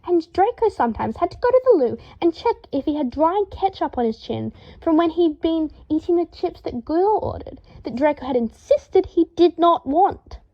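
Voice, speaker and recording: A woman reading fiction aloud as an expressive, scripted narration, with emphatic delivery. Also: very high voice, normal speed, few pauses, highs cut off. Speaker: podfic narrator.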